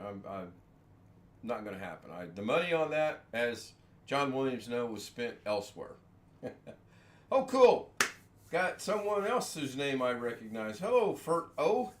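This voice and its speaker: A man hosting a casual home livestream, rambling from topic to topic, making fun of himself and chuckling often. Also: medium pitch. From United States. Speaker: livestream host. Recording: echoey room with faint ambience.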